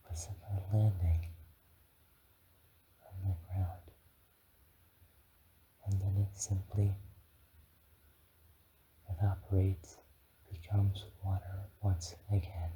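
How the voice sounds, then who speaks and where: A man voicing a gentle young dragon guide in a soft, often whispered ASMR guided meditation, speaking soothingly. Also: sits low, muffled recording, slight background noise. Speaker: ASMR performer.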